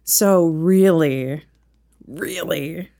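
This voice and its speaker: A woman's voice: sits low, very slow, vocal fry, mellow. A woman co-hosting a casual chat, asking teasing, wry questions. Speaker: podcast co-host.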